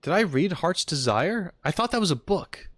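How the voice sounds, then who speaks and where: A man doing casual live reaction commentary as a streamer, thinking aloud in an expressive way. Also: high-pitched, normal speed, no room sound, studio quality.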